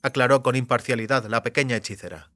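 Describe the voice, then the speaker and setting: A man's voice: medium pitch, fast talker, pushed and bright, no room sound, studio quality. A man narrating a fantasy novel as scripted audiobook narration.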